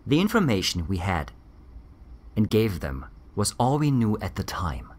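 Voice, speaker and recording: An actor playing a weary, defensive ex-army doctor in a grave, measured audio drama interview. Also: male voice, on the low side, very animated. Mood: defensive, frustrated.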